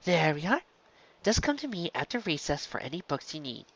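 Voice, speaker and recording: A woman voicing a kindly pony schoolteacher, patient and concerned, with a gentle, measured manner in a story reading. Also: low voice, sing-song, highs cut off, voice pushed up, exaggerated voice. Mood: reassuring.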